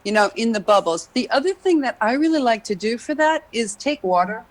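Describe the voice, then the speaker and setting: A woman's voice: on the high side, lively intonation, a little fast, a little hiss or hum. Woman explaining singing technique on a call. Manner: explains at length with frequent "you know". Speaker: guest jazz singer and vocal coach. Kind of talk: casual expert chat.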